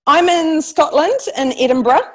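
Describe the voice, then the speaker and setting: A woman with a New Zealand accent chats as a guest, her voice fairly high. She sounds friendly and relaxed, laughing lightly at herself. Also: normal speed. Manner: friendly, laughing, a little self-deprecating. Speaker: guest photographer. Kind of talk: casual interview chat.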